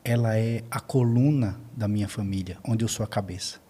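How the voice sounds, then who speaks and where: A man's voice: on the low side, normal speed, dark tone, breathy edge. A man with a Brazilian accent, a podcast guest giving earnest testimony in conversation, repeating phrases for emphasis.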